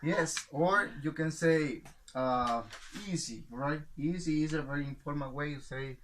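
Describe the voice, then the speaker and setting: A man teaching an English class in a patient, slow classroom manner, checking in with "right?" after each point. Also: on the high side, fairly bright tone, a little hiss or hum.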